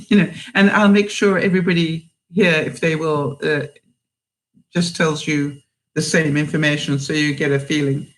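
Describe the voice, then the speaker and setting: A woman in her seventies with a mixed British accent, hosting a live stream and chatting with viewers in a halting way, full of fillers. She sounds a bit tired. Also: low voice, steady tone, low-quality recording. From England. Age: 70s. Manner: unhurried, halting, with many fillers. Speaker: livestream host.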